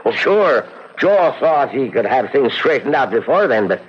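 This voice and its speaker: A man's voice: medium pitch, sing-song, normal speed, slightly rough. A man playing an older, plainspoken Irish country uncle in an old-time radio drama, with an Irish accent. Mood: grave, explaining. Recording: muffled old radio recording.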